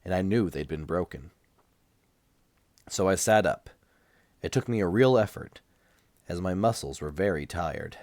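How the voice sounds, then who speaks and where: Male narrator for first-person fiction, low register, measured and dramatic, slightly under a cold, dry sound. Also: animated, darkish tone, dry recording. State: recovering from a cold. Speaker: amateur audiobook reader.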